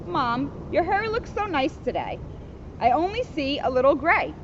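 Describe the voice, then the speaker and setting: A woman's voice: high voice, sing-song, normal speed. A woman voicing an earnest young storybook boy who is eager to please, recorded outdoors. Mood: eager complimenting. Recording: muffled, noisy outdoor recording.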